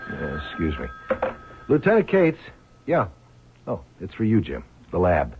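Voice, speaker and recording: An actor playing a cautious, doubtful police lieutenant in an old radio drama, speaking in a questioning way. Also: male voice, low-pitched, huge pitch swings, a little slow, creaky, background noise, exaggerated voice. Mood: businesslike. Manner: questioning, objecting. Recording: dull, lo-fi old radio recording.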